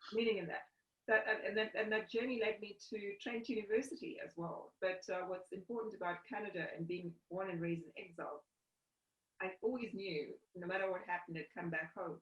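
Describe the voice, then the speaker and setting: A woman's voice: medium pitch, fairly even intonation, fairly quick, a bit bright. A middle-aged woman from South Africa, a company CEO, talking earnestly in a video call interview from a home room, often restarting her phrases. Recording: roomy, distant, dull video call audio.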